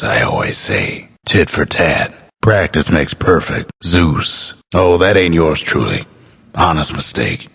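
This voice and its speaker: An actor playing a wry, folksy, world-weary frontier narrator as a video game announcer, gravelly and drawling. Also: male voice, fairly bright tone, heavily acted. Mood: wry, deadpan. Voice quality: deep, gravelly, husky. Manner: laconic, drawling, dryly amused.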